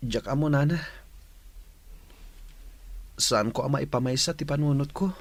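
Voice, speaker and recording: A young man playing an orphaned, dutiful village son in an Ilocano radio drama, speaking in a slow, emotional, drawn-out way. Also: mid-range voice, close to an everyday voice. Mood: subdued, earnest.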